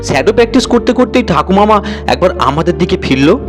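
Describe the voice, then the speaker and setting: A man narrating an audio story in a conversational, expressive, dramatised storytelling manner. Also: high-pitched, fast talker, music in the background.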